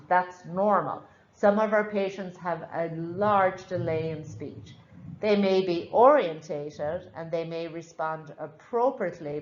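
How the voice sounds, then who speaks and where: A woman with an Irish accent lectures a class in a lowish voice, frank and chatty as she explains things through examples. The sound is echoey and a little dull, like a classroom captured on a basic recorder. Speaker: nursing instructor.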